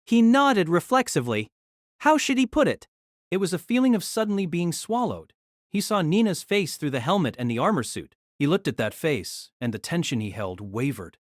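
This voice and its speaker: A woman narrating a novel in steady storytelling, also voicing the male lead's lines. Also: sits low, normal speed, no echo, studio quality.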